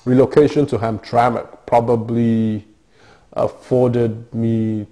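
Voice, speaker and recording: Man, middle-aged, dark timbre, hesitant, interview. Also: mid-range voice, little pitch movement, slow. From Nigeria. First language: Yoruba. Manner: thoughtful, hesitant, many fillers and restarts. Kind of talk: oral history interview.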